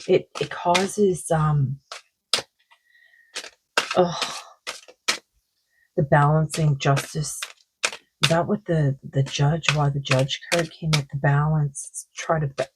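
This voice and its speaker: A woman with a sore throat giving a rambling, casual oracle card reading, full of fillers and slow read-aloud passages. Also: deep voice, background noise. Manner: rambling, many fillers, reads card texts aloud slowly. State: sore throat, losing her voice.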